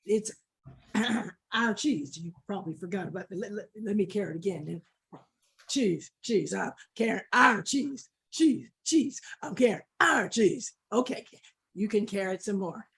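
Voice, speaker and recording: A woman's voice: medium pitch, brisk, breathy edge. A woman storyteller voicing an emphatic, fair-minded male dog friend in an animal folktale. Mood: insistent.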